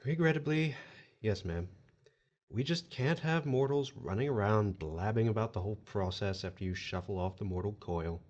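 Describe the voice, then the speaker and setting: A man's voice: on the low side, moderate pace, darkish tone, lo-fi sound, lightly acted. A man playing a courteous, wry, bureaucratic collector of souls in an audio drama, with polite folksy officialese. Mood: polite, matter-of-fact.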